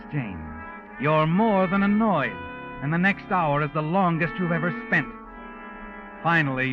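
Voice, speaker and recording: An actor playing a sardonic, all-knowing storyteller, insinuating and ominous, in a 1940s radio mystery. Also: male voice, a little high, lightly acted. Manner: taunting, insinuating, second-person narration. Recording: dull vintage radio with music. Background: music.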